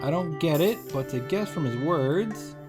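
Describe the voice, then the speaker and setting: A man's voice: on the high side, expressive, measured pace. A man hosting a reaction series, giving an informal, wry recap with running commentary. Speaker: host of visual novel reaction series. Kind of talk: casual recap narration with commentary. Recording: music behind the voice.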